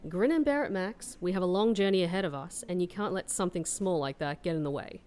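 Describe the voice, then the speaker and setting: A woman acting as a composed, polite, stoic undercover agent in an audio drama, with a measured, proper manner. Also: on the low side, darkish tone, lightly acted.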